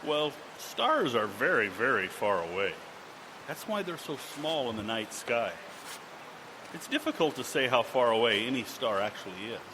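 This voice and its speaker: A man's voice: mid-range voice, sing-song, moderate pace, background noise, close to an everyday voice. A man playing a puzzled elderly professor. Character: older male professor, puzzled and hesitant. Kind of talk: live audio drama.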